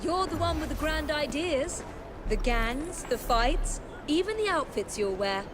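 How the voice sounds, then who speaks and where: An actress playing a stern young Victorian assassin with a cutting, sardonic manner in a game. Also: female voice, high voice, expressive, a little slow, background noise. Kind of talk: video game cutscene acting.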